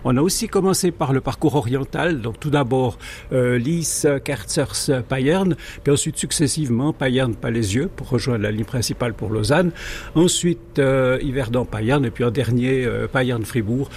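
A man with a Swiss French accent explains history in a conversational way. He is good-humoured and a little hesitant, wandering into asides. His voice is mid-pitched, with slight ambient noise behind it. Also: moderate pace, a little mellow. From French-speaking Switzerland, Jura arc. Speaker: guest, amateur railway historian.

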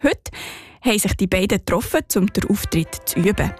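A female radio reporter reads a scripted feature with a Swiss German accent. Her pitch is medium and the recording is dry. Faint music runs behind some of it. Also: lively intonation, brisk, a little mellow, slightly rough, no room sound.

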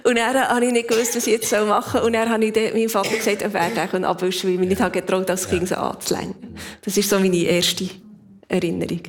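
A woman's voice: medium pitch, little pitch movement, quick pace. A woman in her fifties with a Swiss German accent, telling reflective stories in a casual conversation and laughing often. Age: 50s. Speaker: guest.

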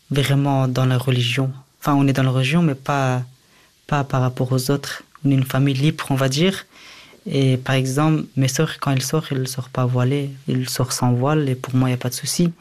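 A young man, speaking a language he learned later, answers as an interviewee. He recounts his own experience in a medium-pitched voice. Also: level tone, brisk, a little mellow. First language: a language other than French. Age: young adult. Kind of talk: personal testimony in an interview.

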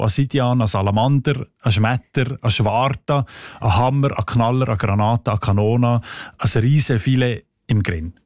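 A man's voice: fairly low pitch, darkish tone, no room sound. Young Sensler Swiss German man, radio guest, hesitant, dry booth. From Sense district, Fribourg, Switzerland. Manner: many hesitations, rattles off long lists. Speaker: dialect researcher guest. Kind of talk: radio interview, explaining. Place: radio studio.

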